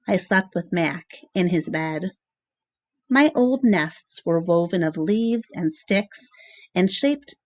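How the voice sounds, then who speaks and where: A woman reading a children's novel aloud in measured, expressive storybook narration with short pauses between phrases. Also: medium pitch, no room sound.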